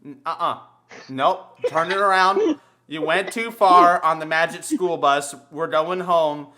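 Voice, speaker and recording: A man in casual podcast banter, telling crude stories with a lot of swearing and an animated delivery. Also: a little high, measured pace. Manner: crude, foul-mouthed, animated storyteller.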